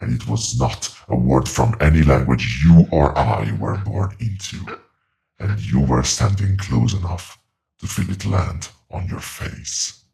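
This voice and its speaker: An ancient being from beyond speaks in a cavernously low register with heavy vocal fry. It delivers ominous horror-drama pronouncements slowly and deliberately, solemn, relentless and coldly knowing. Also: male voice, animated, dark tone, full character voice. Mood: solemn, confessional. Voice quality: very deep, gravelly creaking voice. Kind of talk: horror audio drama monologue.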